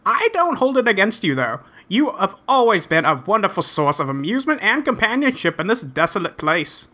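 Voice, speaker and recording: An earnest young gentleman adventurer, acted out in a comic script reading, polite and folksy. The wordy, old-fashioned lines come in a bright, forceful voice with very lively swings of pitch. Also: fairly quick. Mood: genial.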